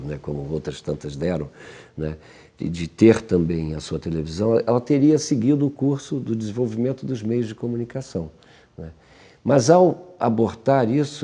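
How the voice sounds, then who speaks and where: A man's voice: low voice, mellow, breathy edge. A man giving a reflective documentary interview, often ending phrases with a tag question.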